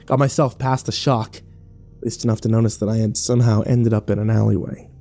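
A man's voice: lowish voice, fairly even intonation, fairly quick, vocal fry, warm tone, soft background music. An actor playing a battered, bitter young man who narrates his nightmare in terse, sardonic, profane fragments for a horror audio drama. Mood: weary, bitter.

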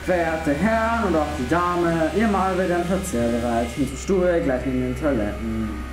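A woman's voice: deep voice, expressive, moderate pace. A woman performing a formal, officious waitress in a dramatic music theatre piece. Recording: echoey, with music behind.

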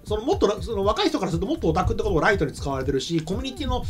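A middle-aged man hosts a casual studio talk show, explaining things at a brisk clip. His pitch moves up and down in a lively way. Clear background music plays behind his voice. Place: small indoor studio set. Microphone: desk microphone.

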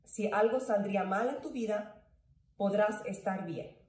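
A woman's voice: mid-range voice, measured pace, a little mellow. A woman in her thirties giving an educational presentation to camera from a room at home. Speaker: employee volunteer presenter. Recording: echoey room, distant mic.

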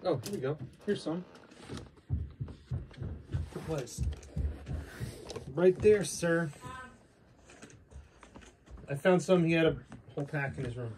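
A woman with a low, mellow voice talks casually at home. She is easygoing and unhurried, and faint noise sits on the handheld recording. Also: expressive. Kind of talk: casual chat around the house. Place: indoors at home.

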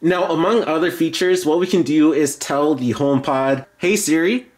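A man's voice: on the high side, moderate pace, a little mellow. A man giving an informal, explanatory product demo as a tech reviewer.

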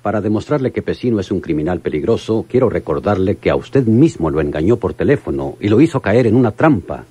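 An actor playing an earnest, formal police lieutenant with a Mexican accent, speaking emphatically in a radio drama. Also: male voice, on the low side, sing-song, brisk, few pauses, mellow, slightly rough, dry recording. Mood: insistent.

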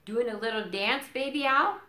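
A woman's voice: fairly high pitch, moderate pace, pushed and bright, reverberant, pitched above the performer's own voice. A woman voicing an affectionate, gushing woodland animal in a storybook with sugary cooing.

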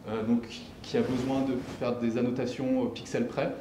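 A young man giving a technical presentation at a meetup in a halting, explanatory way, posing questions and answering them himself. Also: medium pitch, little pitch movement. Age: young adult. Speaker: tech meetup speaker presenting his company's software. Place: indoor lecture room, at a lectern. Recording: echoey room with clear background noise.

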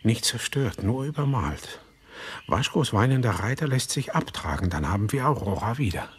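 An actor playing a wary, brooding son who narrates a German radio drama in a husky, measured, foreboding voice. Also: male voice, medium pitch, lively intonation. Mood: guarded.

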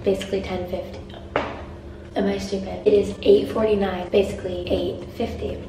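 Raspy young woman vlogging at arm's length. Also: mid-range voice, moderate pace, raised voice, very noisy. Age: young adult. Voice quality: raspy, strained voice. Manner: chatty and animated, many fillers. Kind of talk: casual vlog chat to camera. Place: small indoor rooms and study room. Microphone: handheld camera at arm's length.

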